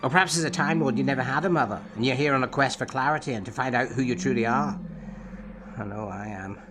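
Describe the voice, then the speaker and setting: A man playing a probing, enigmatic therapist in an audio drama, speaking in a measured, formal, analytical way. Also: mid-range voice, natural voice. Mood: probing, certain. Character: probing, enigmatic male therapist to an alien patient. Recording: music under the voice.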